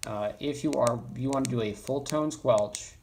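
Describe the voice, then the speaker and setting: A man presenting an informal, off-the-cuff software tutorial, halting and rambling as he thinks aloud. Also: medium pitch. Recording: clearly noisy, with keyboard clicks.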